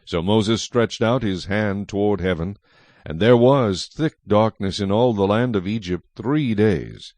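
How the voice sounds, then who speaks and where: A man narrating a dramatized audio Bible in a measured, solemn storytelling style. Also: lowish voice, darkish tone, no room sound. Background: music.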